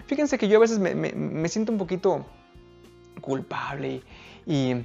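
A woman's voice: deep voice, expressive, unhurried, vocal fry, mellow, muffled recording, soft background music. A woman with a Mexican accent preaching a live-streamed sermon, earnest and emphatic, often asking the listeners direct questions.

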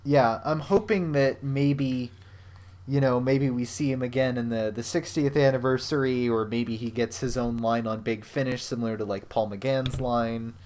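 Male co-host, mid-pitched, loose rambling delivery with casual profanity. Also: steady tone, moderate pace, darkish tone. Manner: rambling, swears freely, frequent fillers. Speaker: podcast co-host. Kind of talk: casual chat.

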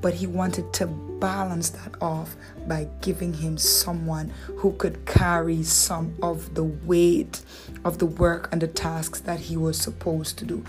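A woman's voice: low-pitched, little pitch movement, a little slow, over music. Woman with a Dominican accent teaching scripture. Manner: conversational, asks rhetorical questions, playful asides. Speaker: Bible teacher. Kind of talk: relaxed devotional teaching.

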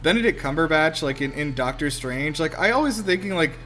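A man's voice: fairly high pitch, lively intonation, fairly quick, bright, forceful tone. A man giving casual, wry film commentary as a podcast co-host, exclaiming and swearing freely.

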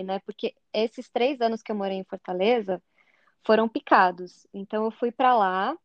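A woman with a Curitiba accent chats on a podcast in a slightly high voice, with a dry, somewhat dull lo-fi sound. She is an animated storyteller who acts out the dialogue she remembers. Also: dry recording. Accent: Curitiba Brazilian Portuguese. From Curitiba, Brazil. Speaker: podcast co-host. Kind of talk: casual podcast conversation.

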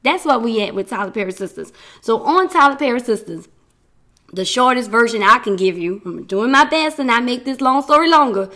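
A woman's voice: fairly high pitch, lively intonation, fairly quick, pushed and bright. A woman hosting her own podcast, talking in a chatty, rambling way with frequent laughs while she is losing her voice. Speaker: podcast host. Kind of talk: casual solo podcast talk.

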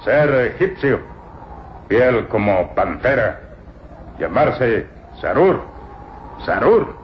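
A slow, halting, humble servant. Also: male voice, medium pitch, very animated. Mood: hesitant, dutiful. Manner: halting, servile, broken infinitive-only phrasing. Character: humble, fearful, loyal male Ethiopian slave. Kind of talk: old radio serial acting. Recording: muffled, noisy old radio drama recording.

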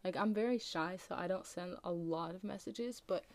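A young American woman hosting a casual solo podcast, with a slightly raspy just-woke-up voice and a rambling, chatty way of talking full of ums and likes. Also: on the low side, moderate pace. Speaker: podcast host.

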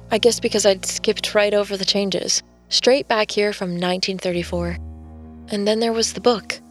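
A woman's voice: mid-range voice, lightly acted. An actress playing a determined, haunted woman artist in an audio drama, speaking earnestly and confidingly. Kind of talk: audio drama acting with first-person narration.

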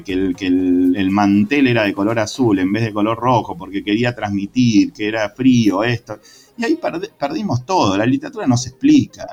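An Argentine man speaks in a relaxed conversational interview, thoughtful and passionate, often restarting his phrases and hesitating. His voice sits at an ordinary middle pitch for a man. Faint music runs quietly underneath. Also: muffled recording. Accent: Argentine Spanish. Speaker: secondary school literature teacher.

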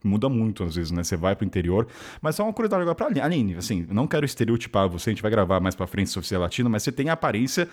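A man hosting a casual podcast, quick and expressive as he leads the conversation. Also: on the low side. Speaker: podcast host.

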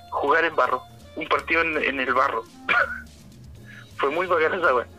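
A Chilean man with a very bright, forward tone and a slightly higher voice than most men. He chats casually and tells loose, slangy stories at an easy pace. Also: takes it slowly, faint music behind, low-quality recording. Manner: easygoing, slangy storytelling. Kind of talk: casual chat.

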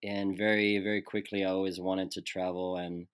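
A man's voice: low voice, little pitch movement, normal speed, clean tone, no echo, studio quality. A man from Switzerland, a guest actor talking through his life in a thoughtful, searching way. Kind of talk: casual conversational interview.

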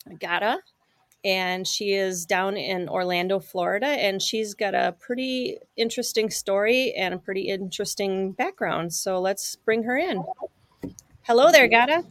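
A woman's voice: mid-range voice, normal speed, fairly bright tone, no room sound. A woman hosting a casual live-stream talk show, chatty and friendly as she introduces and questions her guest. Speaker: show host.

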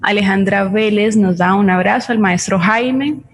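A woman's voice: mid-range voice, normal speed. A woman with a Colombian accent co-hosting, reading out audience greetings and comments.

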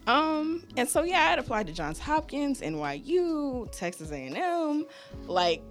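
A young woman in her twenties from Michigan, a podcast guest telling her story in a lively, casual way with frequent laughs and a light, youthful voice. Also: sits high, measured pace.

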